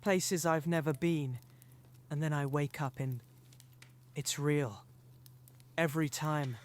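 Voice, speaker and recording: A man's voice: a little high, slow pace, slight background noise. A man playing an earnest, weary teenage boy wizard in a fan audio drama, subdued and troubled.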